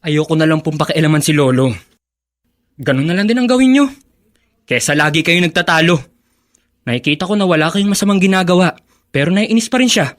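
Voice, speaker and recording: Actor as high-voiced sulky young Filipino son. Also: male voice, expressive, fairly quick, long pauses, close to an everyday voice. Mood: sulky, resigned. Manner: polite, sulky. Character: fed-up, respectful young grandson and son. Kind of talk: Filipino radio drama acting.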